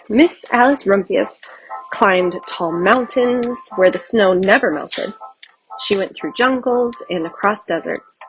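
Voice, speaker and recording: A woman narrating a children's storybook aloud in an expressive, careful storytelling manner. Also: mid-range voice, noisy background.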